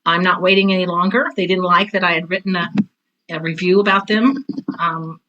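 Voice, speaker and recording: A woman's voice: lowish voice, steady tone, fairly quick, pushed and bright, highs cut off. A middle-aged or older woman telling personal stories as an interview guest, candid and emphatic, repeating phrases for stress. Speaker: interview guest, theater teacher and author. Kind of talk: casual personal storytelling in an interview.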